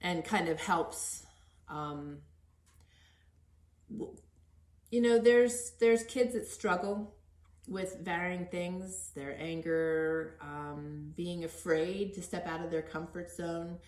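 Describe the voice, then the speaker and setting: A woman's voice: fairly low pitch, slow, a little mellow. A middle-aged woman hosting a podcast interview in an earnest, encouraging, conversational way. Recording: echoey untreated room.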